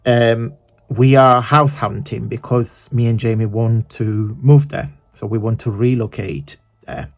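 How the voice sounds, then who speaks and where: A man from southern Italy with an Italian accent and a croaky voice, hosting a chatty, rambling crafting tutorial. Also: medium pitch, fairly even intonation, measured pace. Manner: rambling, chatty, self-correcting.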